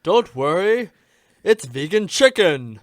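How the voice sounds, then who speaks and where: Man doing a high-pitched, animated chicken. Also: takes it slowly, dry recording, clean studio sound, exaggerated voice. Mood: easygoing. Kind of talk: radio sketch comedy acting.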